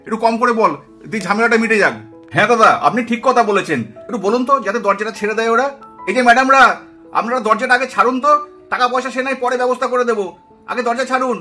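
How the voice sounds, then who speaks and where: Man playing a high-pitched, tight-fisted young man. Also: quick pace, sharp tone. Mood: incredulous, protesting. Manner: exclamatory, grumbling, incredulous. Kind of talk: puppet-show cartoon dialogue. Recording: music behind the voice.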